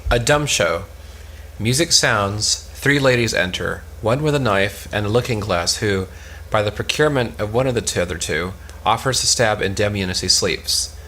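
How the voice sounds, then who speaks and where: A man's voice: fairly low pitch, normal speed, pushed and bright, a little hiss or hum. A man reading out stage directions as scripted narration in a cold read of a play.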